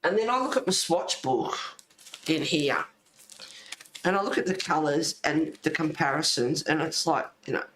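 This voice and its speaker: A man's voice: fairly high pitch, moderate pace, a bit bright. Aussie guy just rambling on a livestream, echoey room, bit of noise behind him. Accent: Australian. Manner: rambling, easily sidetracked. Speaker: host of a colouring livestream. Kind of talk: casual chat. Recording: roomy echo, faint noise.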